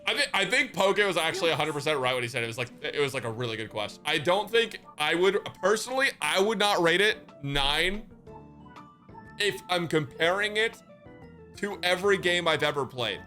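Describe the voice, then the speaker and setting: Bright-voiced man streamer over music. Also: fairly high pitch, very animated, normal speed. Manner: slangy, profane, joking, hyperbolic. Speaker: live streamer reacting to a game. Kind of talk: casual livestream chat.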